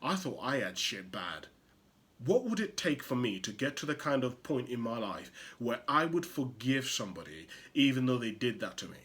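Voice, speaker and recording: A man who grew up in Uganda talks straight to his listeners in an impassioned motivational monologue. His pitch swoops widely as he piles on rhetorical questions, and his delivery shifts from loud emphasis to hushed asides. His voice sits in a middle register. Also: big pitch swings, normal speed. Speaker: motivational show host.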